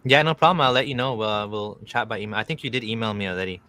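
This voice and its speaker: A man's voice: fairly low pitch, fast talker, bright, forceful tone, clear, resonant tone. A man giving short, friendly replies as a guest on a livestream. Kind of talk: casual livestream chat.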